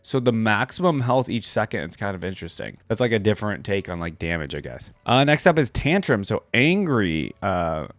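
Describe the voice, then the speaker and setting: A man's voice: lowish voice, big pitch swings, brisk, few pauses, no room sound. A man giving casual, enthusiastic reaction commentary as the host of a video. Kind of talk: casual reaction commentary and expressive story reading.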